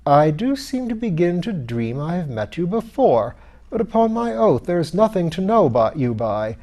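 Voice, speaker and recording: A man voicing a pompous, irritable, hard-drinking skeleton lord in an audiobook, rough and blustering in old-fashioned aristocratic speech. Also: high-pitched, sing-song, normal speed, mellow, pitched above the performer's own voice. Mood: blustering, confused. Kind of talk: audiobook character voice.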